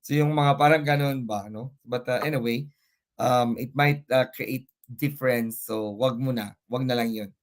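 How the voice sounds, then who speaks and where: Young Filipino man as live pageant host, meandering and halting, average pitch, on a video call. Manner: rambling, hesitant, mixes English and Tagalog. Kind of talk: live online pageant interview.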